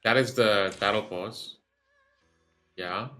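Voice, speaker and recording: Bright-voiced man rambling over a game. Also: lowish voice, slow pace, soft background music. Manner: rambling, thinking aloud, swearing freely. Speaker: gaming streamer recording a reaction video. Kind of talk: casual gameplay commentary.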